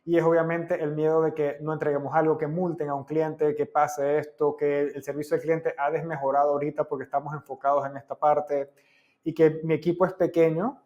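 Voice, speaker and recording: A man's voice: on the high side, flat delivery, fairly quick, a little mellow, lo-fi sound. A man from the Americas hosting a podcast, asking rambling questions in a conversational way. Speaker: podcast host. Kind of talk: casual interview conversation.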